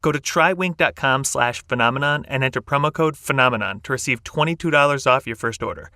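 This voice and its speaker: A man's voice: medium pitch, fast talker, sharp tone, dry recording, clean studio sound. A man reading an upbeat scripted sponsor message as a podcast host. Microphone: close studio mic, dry.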